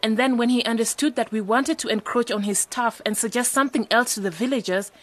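A spirited young woman played by an actress in a radio drama, rather high in pitch. She speaks with indignation as a cooperative partner. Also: brisk, natural voice. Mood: indignant. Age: young adult.

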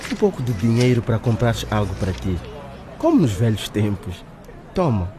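A man acting a persistent, smooth-talking young suitor in a radio drama, wooing and insistent. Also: lowish voice, sing-song, normal speed, a crowd in the background. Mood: pleading.

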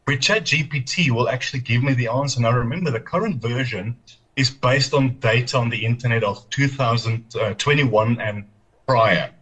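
Husky-voiced man explaining earnestly. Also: mid-range voice, moderate pace, fairly bright tone, low-quality recording. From South Africa. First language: Afrikaans. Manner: earnest, explanatory, self-correcting. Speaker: technology commentator guest.

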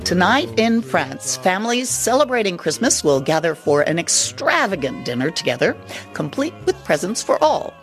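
Woman podcast host, very animated delivery. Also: fairly low pitch, normal speed, faint music behind. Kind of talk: scripted host introduction.

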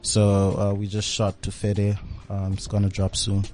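A man from Zambia, a DJ guest, giving calm, laid-back answers in an interview. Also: fairly low pitch, measured pace, soft background music.

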